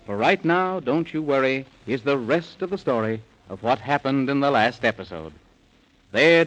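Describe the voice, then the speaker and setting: A man narrating a rhyming children's bedtime story in theatrical, sing-song 1930s radio style. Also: medium pitch. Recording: muffled 1930s radio recording.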